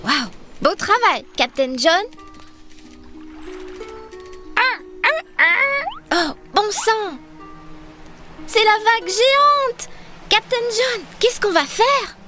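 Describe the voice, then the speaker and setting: A brave, cheerful young girl adventurer for a kids' cartoon. Her high voice swings lively up and down, upbeat and encouraging. Light music runs beneath her. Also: slow, heavily acted. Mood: excited. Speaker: dubbing actress. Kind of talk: French cartoon dub.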